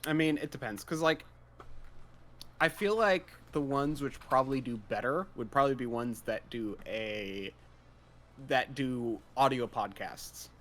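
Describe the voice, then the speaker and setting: A man's voice: mid-range voice, measured pace, a bit bright. A man co-hosting a casual gaming talk show, rambling through his points with many fillers. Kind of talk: casual chat.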